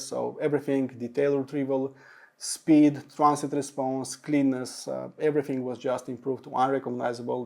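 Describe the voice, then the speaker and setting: A man's voice: medium pitch, creaky, dark tone. A man giving a product review monologue as an audio reviewer, speaking in emphatic but hesitant phrases.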